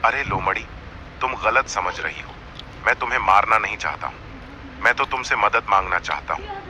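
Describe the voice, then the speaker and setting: A man's voice: on the low side, lively intonation, moderate pace, bright, forceful tone, slight background noise, pitched below the performer's own voice. A man voicing a proud lion king of the jungle, by turns imploring and imperious, in a cartoon fable. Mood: coaxing.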